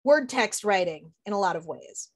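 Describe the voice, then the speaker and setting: A woman's voice: mid-range voice, expressive, moderate pace. A middle-aged woman interviewing casually on a video call, informal and a little self-deprecating. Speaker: interviewer, host. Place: small room at home.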